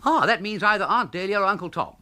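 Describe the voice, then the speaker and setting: An actor playing a breezy, idle upper-class English young gentleman in a comic period radio drama, plummy and exclamatory. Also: high-pitched, fast. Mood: contented.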